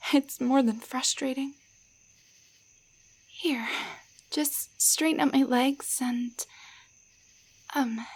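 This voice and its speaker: Audio drama: woman as timid, grateful young waitress cursed into a little doll. Very unhurried, breathy, near-whispered, faltering delivery. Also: high voice, a little mellow, heavily acted. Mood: awkward, frustrated. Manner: hesitant, halting, stammering. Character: shy, grateful young tavern waitress turned into tiny doll. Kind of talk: roleplay audio drama, intimate one-sided dialogue.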